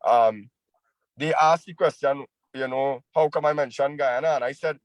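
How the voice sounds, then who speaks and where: An earnest man with a Trinidadian accent talks as a guest over a video call. His voice sits mid-pitch and sounds close and dry, and he often doubles back on his words. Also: normal speed, no room sound, low-quality recording. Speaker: chutney soca singer, guest.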